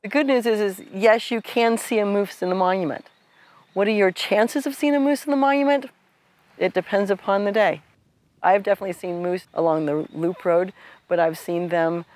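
A man's voice: high-pitched, moderate pace, darkish tone, dry recording. A man giving easygoing, casual answers in an interview.

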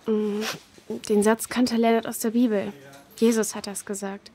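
A woman playing a gentle, patient grown-up who comforts and explains carefully to children in an audio drama. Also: medium pitch, flat delivery, moderate pace, lightly acted. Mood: gentle, serious.

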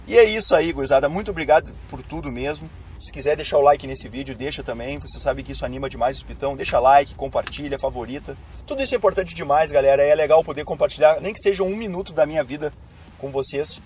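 Chatty hoarse southern Brazilian man driving. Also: on the high side, quick pace, darkish tone. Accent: southern Brazilian, Rio Grande do Sul. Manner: chatty, addresses viewers directly. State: hoarse with a cold. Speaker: YouTuber. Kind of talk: casual driving vlog chat. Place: inside a car in city traffic. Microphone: camera mounted on dashboard.

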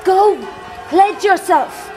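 A woman's voice: very high voice, very slow, heavily acted. A woman playing a tender young noblewoman who urges her sweetheart on, in a historical radio drama. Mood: urging. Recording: loud music and crowd behind.

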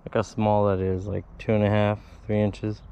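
Mellow-voiced man fishing, lo-fi camera audio. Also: lowish voice, completely flat delivery, moderate pace, a little hiss or hum. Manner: chatty, talks to viewers while casting. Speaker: fishing vlogger. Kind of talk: casual play-by-play while fishing. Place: outdoors by a pond. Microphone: head-mounted action camera. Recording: dull lo-fi outdoor camera audio.

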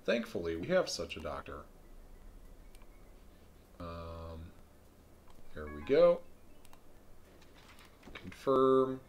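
A man streaming a video game live, thinking aloud in a relaxed way with frequent ums. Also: sits low, extremely slow, long pauses, a little hiss or hum. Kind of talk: live let's-play commentary.

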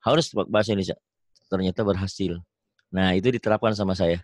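Low-voiced Indonesian teacher drilling syllables. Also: male voice, fairly even intonation, no room sound, clean studio sound. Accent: Sundanese. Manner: drills syllables slowly, drawn out, corrects and praises. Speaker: Quran reading teacher. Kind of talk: online reading lesson. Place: home, on a video call.

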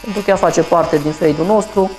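A man's voice: high voice, a little mellow, soft background music, poor audio. A man in his thirties from Romania, a barber who explains each step of a haircut while he works, talking through it in a small shop.

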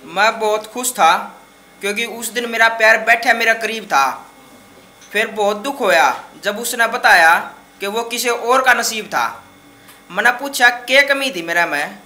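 A man's voice: high-pitched, a little slow, pushed and bright. A man in his twenties with a Haryanvi accent reciting shayari at an open mic in an emphatic, dramatic way. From Haryana, India. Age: 20s. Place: small dark indoor open-mic stage. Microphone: microphone on stand close in front.

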